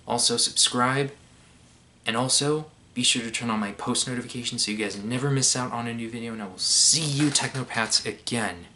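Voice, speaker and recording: A man's voice: mid-range voice, pushed and bright, slight background noise. A young adult man talking casually as host of a reaction video, recorded in a bedroom. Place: bedroom, indoors.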